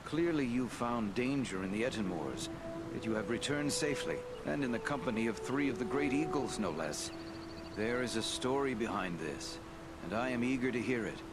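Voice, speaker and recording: An actor playing a wise, grave elven lord in a fantasy game, giving counsel in formal, measured phrases. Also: male voice, medium pitch, lively intonation, heavy background noise, lightly acted. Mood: grave, dignified. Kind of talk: fantasy game cutscene acting.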